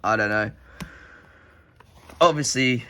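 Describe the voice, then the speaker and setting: A young man from the UK talks in a loose, rambling way, his voice stuffed and nasal from a cold. He sits at a middle pitch and keeps stringing thoughts together with filler words as he shows off his collection. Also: takes it slowly, pushed and bright. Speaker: card collector vlogger. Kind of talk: casual rambling show-and-tell.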